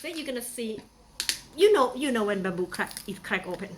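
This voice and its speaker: A woman's voice: fairly high pitch, expressive, fairly quick, background noise. A young woman from Thailand with a Thai accent, chatting playfully through a casual how-to demonstration with many fillers. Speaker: vlogger.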